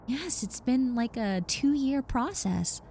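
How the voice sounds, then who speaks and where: Audio roleplay: reformed obsessive young woman, played by an actress, gentle dark-soft tone, slightly high, hesitant, sincere, eager to reassure. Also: lively intonation, slow, slight background noise, full character voice. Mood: hopeful, grateful. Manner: hesitant, earnest, stammering and reassuring. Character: nervous, apologetic young woman, reformed obsessive yandere roommate. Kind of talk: roleplay audio drama, conversational monologue to the listener.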